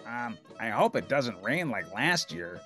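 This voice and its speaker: A man's voice: mid-range voice, sing-song, measured pace, pushed and bright, soft background music. A man voicing a fussy, long-suffering puppet roommate for a children's storybook, earnest and grumbling. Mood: exasperated.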